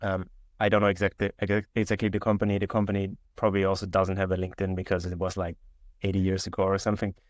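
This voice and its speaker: A man's voice: sits low, fast, dry recording, clean studio sound. A man in his twenties with a German accent, chatting casually as an interview guest over a video call, with lots of fillers and restarts. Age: 20s. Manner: relaxed, many fillers and restarts. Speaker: guest entrepreneur. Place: indoor room.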